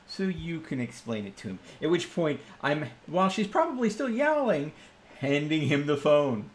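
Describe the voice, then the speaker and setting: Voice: mid-range voice, very animated, moderate pace. A player in a tabletop game, narrating their actions casually and bantering in a wry, teasing way. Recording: clearly noisy mic with clicks.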